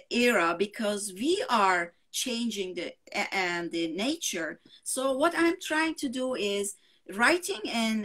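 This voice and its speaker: A woman writer with a Turkish accent, speaking English as a second language in an interview, often pausing with fillers to find words. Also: medium pitch, a bit bright. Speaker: novelist guest. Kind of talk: interview conversation.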